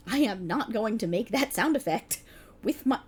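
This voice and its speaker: A woman's voice: fairly high pitch, lively intonation, normal speed, slightly rough. A woman playing a playful, exasperated voice actor bantering casually with her best friend in an ASMR roleplay. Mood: embarrassed, flustered. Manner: sarcastic, chatty, casual, hesitant asides. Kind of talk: ASMR roleplay, one side of a conversation.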